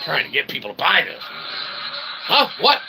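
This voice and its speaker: A man hosting an online show, delivering a rambling, wordy, mock-formal comic monologue with animated bursts. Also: on the high side, unhurried, gravelly, loud music behind the voice, poor audio. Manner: mock-formal, wordy phrasing with frequent check-in tags. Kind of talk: rambling comedic monologue to a livestream chat.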